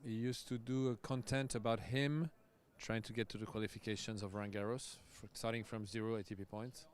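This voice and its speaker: A man's voice: lowish voice, flat delivery, a little fast. A man, a tennis coach hosting casual live commentary, chatty and loose with easy laughs. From France. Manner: chatty, loose, laughs often, restarts sentences.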